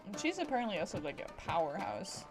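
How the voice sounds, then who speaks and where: A woman giving casual live gameplay commentary as a streamer, playful and rambling, with excited exclamations. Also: medium pitch, fast. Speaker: game streamer. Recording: game music behind voice. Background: video game music.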